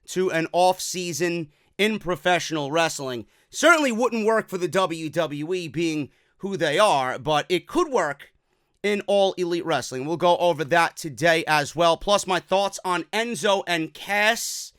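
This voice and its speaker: Bright, high-voiced energetic man. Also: measured pace, clear, resonant tone, no room sound, clean studio sound. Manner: energetic, casual and swearing. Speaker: wrestling news host. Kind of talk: casual wrestling news commentary. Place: office at a desk.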